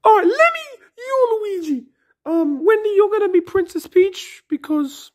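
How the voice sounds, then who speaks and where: A very high-pitched young koopa boy from a cartoon comedy skit, acted by an adult. He is excitable and bossy, slipping into whining as he orders his cast around. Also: unhurried. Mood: whiny, defensive. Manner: bossy, whiny.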